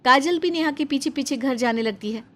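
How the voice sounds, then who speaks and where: A woman's voice: high voice, fairly quick, fairly bright tone. A woman narrator reading a scripted family story.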